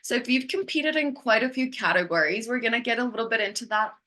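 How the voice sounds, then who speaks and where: A woman from Mexico hosts a podcast, her voice bright and lively. She asks questions casually, with plenty of "like" and easy laughter between them. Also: on the high side, fast. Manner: warm-up chatter with lots of "like", laughs easily. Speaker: podcast host. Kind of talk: casual interview hosting.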